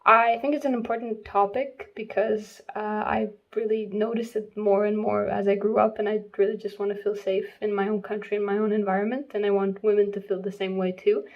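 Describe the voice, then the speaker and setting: Young woman, gravelly, flat delivery, earnest, dull lo-fi recording. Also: medium pitch. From Croatia. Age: young adult. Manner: earnest, explanatory, with fillers. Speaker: young filmmaker. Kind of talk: recorded self-introduction.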